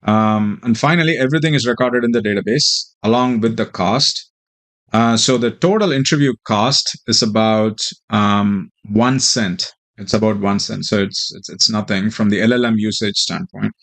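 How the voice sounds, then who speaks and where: A man with a fairly low voice walks through a software demo as its presenter. His explanation is informal and somewhat halting, with a hesitant flow. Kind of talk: informal tutorial walkthrough.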